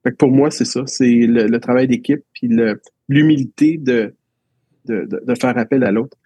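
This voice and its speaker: A man's voice: medium pitch, moderate pace, warm tone, breathy edge, dry recording. A man with a Quebec French accent, a teacher reflecting on his schooling in a casual interview, telling stories with frequent restarts. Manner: reflective, storytelling with many restarts.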